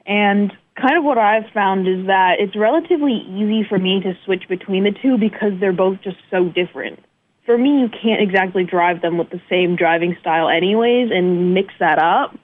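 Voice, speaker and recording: A woman's voice: mid-range voice, normal speed. Young woman, guest interview, casual, many fillers, tinny phone line. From United States northeast. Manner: many fillers, relaxed and modest. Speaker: guest racing driver.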